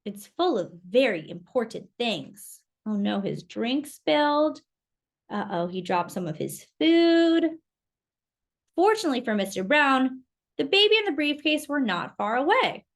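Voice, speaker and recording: A woman reading a picture book aloud to young children in an expressive, exclamatory way, with chatty asides and questions. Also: sits high, a little slow. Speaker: children's storytime reader.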